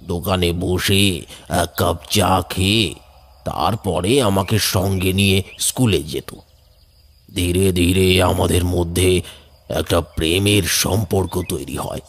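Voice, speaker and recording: A man narrating a first-person horror story, somber and measured. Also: low voice, fairly even intonation. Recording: background music.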